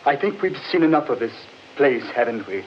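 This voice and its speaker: An actor playing a watchful gentleman who senses evil, in a dramatic, foreboding 1940s radio horror style. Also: medium pitch, very animated, fast, lightly acted. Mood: alarmed. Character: Danvers, watchful gentleman sensing evil in woods. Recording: muffled, noisy old 1940s radio recording.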